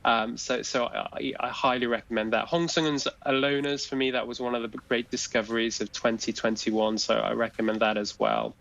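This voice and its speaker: A man's voice: medium pitch, flat delivery, moderate pace, creaky, hardly any pauses, fairly bright tone, highs cut off. A man speaking as a guest commentator on a news program, talking hesitantly with many fillers and restarts. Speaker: film industry guest commentator. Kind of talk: live studio interview discussion.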